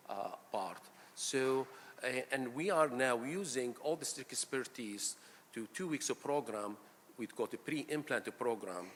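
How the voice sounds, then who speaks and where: Middle-aged male doctor from Iraq, halting conference speaker, mic in a reverberant hall with faint hum. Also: medium pitch. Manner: halting, frequent fillers, warm and gracious. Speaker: pain physician giving a conference talk. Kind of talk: conference lecture. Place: large lecture hall.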